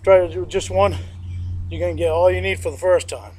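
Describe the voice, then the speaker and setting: A man's voice: sits high, steady tone, fairly quick, darkish tone, a little hiss or hum. A middle-aged man demonstrating a workout in a backyard, plain-spoken and sniffling from allergies, recorded on a distant camera mic. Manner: plain-spoken, repeats himself, counts reps aloud. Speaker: home fitness instructor. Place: outdoor backyard lawn.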